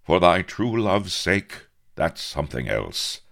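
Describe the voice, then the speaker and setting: A man's voice: low-pitched, sing-song, slow, creaky, a bit bright, voice pushed up, exaggerated voice. A man voicing a haughty, hot-tempered upper-class young English captain with pompous, archaic speech in a comic audiobook. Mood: remorseful.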